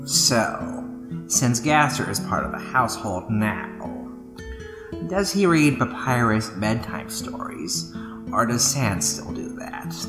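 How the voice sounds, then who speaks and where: A man's voice: mid-range voice, big pitch swings, slow. A man reading written questions aloud. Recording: echoey hall reverb over music.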